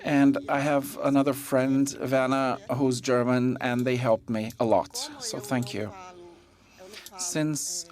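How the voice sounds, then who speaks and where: Slow, measured conference interpreter. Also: mid-range voice, steady tone, a little mellow. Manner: measured, with pauses and fillers. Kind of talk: live interpretation of a talk.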